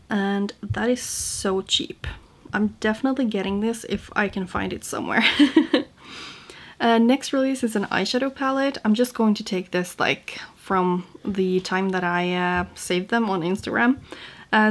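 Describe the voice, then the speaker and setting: Chatty young woman with a Norwegian accent. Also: medium pitch. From Norway. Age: young adult. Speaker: beauty vlogger. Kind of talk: casual chat to camera. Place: small home room indoors.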